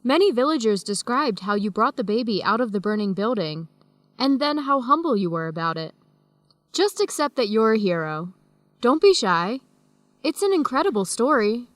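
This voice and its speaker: An actress playing a warm, enthusiastic young American woman researcher in an educational radio drama. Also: fairly high pitch, sing-song, moderate pace, no echo. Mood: cheerful, admiring.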